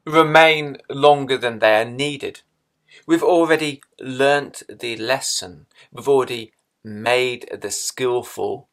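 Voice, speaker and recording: A man leading a guided meditation in a measured way, leaving long pauses between phrases. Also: mid-range voice, a bit bright.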